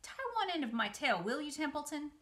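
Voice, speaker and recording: A woman voicing a naive, boastful young male pig in a children's storybook, excitable and cheerful. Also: high-pitched, a little fast, pitched above the performer's own voice. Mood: eager.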